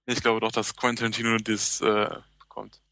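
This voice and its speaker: A man co-hosting a podcast in casual, easygoing chat, halting now and then and laughing often. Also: on the low side, completely flat delivery, creaky, pushed and bright. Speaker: podcast co-host.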